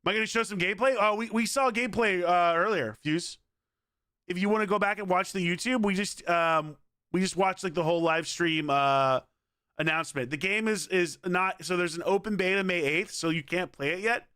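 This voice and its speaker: A man with a hoarse voice, chatting casually and energetically on a game livestream and pleading with viewers. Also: high voice, a little fast, bright, forceful tone, no echo, studio quality. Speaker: game streamer.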